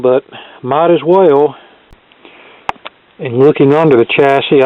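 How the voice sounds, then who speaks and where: A man giving casual, unscripted demonstration commentary as a hobbyist repairer, plain-spoken and thinking aloud as he goes. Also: a little high. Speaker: vintage radio repair hobbyist presenter. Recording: thin, phone-like band-limited sound.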